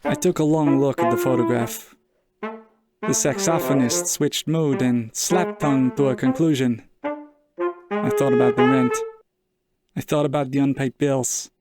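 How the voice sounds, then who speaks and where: An actor playing a world-weary, wry 1940s private investigator in a hardboiled noir audio drama, deadpan and measured. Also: male voice, mid-range voice, lightly acted. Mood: brooding, wry. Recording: saxophone music under the voice.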